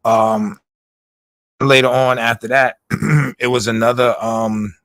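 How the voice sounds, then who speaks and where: A man's voice: mid-range voice, steady tone, takes it slowly, fairly bright tone, dry recording. A man giving a casual, rambling recap on a livestream, full of fillers, restarts and swearing. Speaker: livestream commentator.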